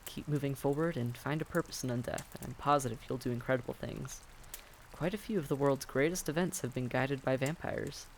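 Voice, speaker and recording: Guy doing a friendly vampire innkeeper in an audio roleplay, gravelly mid voice, patient n reassuring, bit of background noise. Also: mid-range voice, brisk, lightly acted. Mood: advising, encouraging. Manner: gentle, folksy, politely advising. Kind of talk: immersive roleplay audio drama, addressing the listener.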